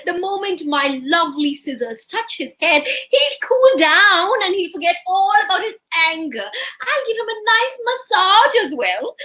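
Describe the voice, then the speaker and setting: A happy-go-lucky barber from a children's tale, acted out by a woman, high and bright. He is carefree and bouncy, with a lilting sing-song chant that moves freely up and down. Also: lively intonation, measured pace, exaggerated voice. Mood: breezy, confident. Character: jolly happy-go-lucky male barber who sings.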